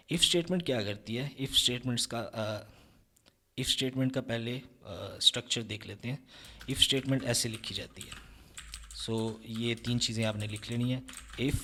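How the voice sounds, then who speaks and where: A young man explaining programming step by step in a relaxed screen-recorded lesson, often checking in with listeners. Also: mid-range voice. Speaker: programming tutor.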